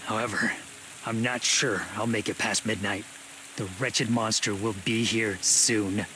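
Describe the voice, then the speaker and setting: Fatalistic game survivor, low-pitched and measured, grim, with rain noise behind him. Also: male voice, fairly even intonation, bright, forceful tone, rough recording. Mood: grim, wary. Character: world-weary, fatalistic male survivor, consoling friend. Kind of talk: video game dialogue.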